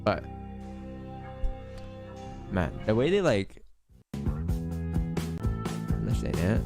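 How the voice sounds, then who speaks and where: A man's voice: on the low side, big pitch swings, very slow, over loud music. Slangy man chatting on a live stream. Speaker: live-stream host and forex trader. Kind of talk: casual live-stream chat.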